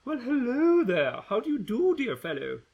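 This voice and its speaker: A man's voice: very high-pitched, wildly swooping pitch, normal speed, vocal fry, muffled recording, pitched above the performer's own voice, exaggerated voice. A man voicing a bossy, sneering schoolgirl bully who speaks in a prim, over-polite way.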